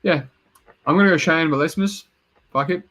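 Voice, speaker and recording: A man's voice: a little high, moderate pace, fairly bright tone. A man with a mixed Serbian-Australian accent hosts a podcast, chatting casually and swearing now and then. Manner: laid-back, swears casually. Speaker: podcast host. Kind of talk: casual chat.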